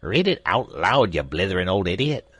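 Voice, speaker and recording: A man voicing a rough, bullying sailor who shouts and jeers, in a storybook reading. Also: deep voice, big pitch swings, moderate pace, no echo, exaggerated voice. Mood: jeering, shouting. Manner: shouting, jeering. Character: rough, bullying male sailor, loud and coarse.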